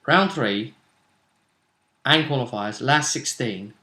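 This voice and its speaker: A man's voice: medium pitch, lively intonation, takes it slowly, pushed and bright. Man giving casual, dramatic game recap. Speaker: host and commentator on a tabletop roleplay vidcast.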